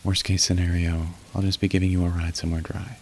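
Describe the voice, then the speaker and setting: Weary young male demon, played by a man, ASMR roleplay: deep, soft, confiding delivery over faint rain. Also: level tone, fast talker, a little hiss or hum, close to an everyday voice. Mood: shy, hopeful. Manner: soft, hesitant, confiding, gently wry. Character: weary, tender young male demon working a phone line. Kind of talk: intimate ASMR roleplay acting. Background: rain and thunder.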